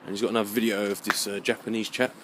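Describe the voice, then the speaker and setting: A man giving a rambling, unscripted monologue in a halting, musing way, often repeating his phrases. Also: lowish voice, flat delivery, fairly bright tone, a little hiss or hum. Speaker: vlogger.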